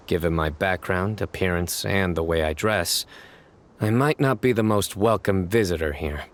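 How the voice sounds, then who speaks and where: Stern quiet judge, very low voice, short and guarded. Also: male voice, unhurried. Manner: calm, terse, guarded. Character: stern, reserved young man, a feared judge. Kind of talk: video game cutscene voice acting.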